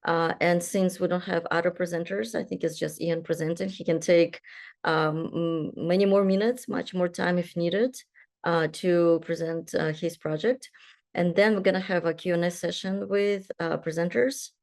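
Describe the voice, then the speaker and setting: A woman's voice: fairly low pitch, steady tone, normal speed, vocal fry, darkish tone. A young adult woman from Kazakhstan, a doctoral student, moderating an online panel and introducing speakers, with many fillers and restarts. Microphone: video call.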